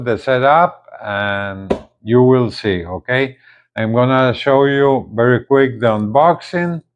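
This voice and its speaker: A man presenting a casual unboxing video, speaking haltingly and often repeating his words. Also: medium pitch. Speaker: gadget reviewer, video host.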